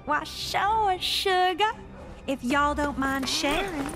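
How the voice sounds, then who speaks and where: High, drawling Southern cowgirl. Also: slow pace, a bit bright, soft background music, full character voice. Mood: sweet, flirty. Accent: American Southern. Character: sweet, drawling female Wild West cowgirl heroine. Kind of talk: animated game cutscene acting.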